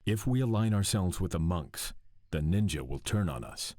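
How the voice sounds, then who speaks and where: A resolute young warrior heir from a video game. His male voice is low and grave, with a serious, commanding manner. The sound is dry and close. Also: expressive, normal speed, warm tone, no room sound. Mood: measured, deliberating. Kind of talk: video game cutscene acting.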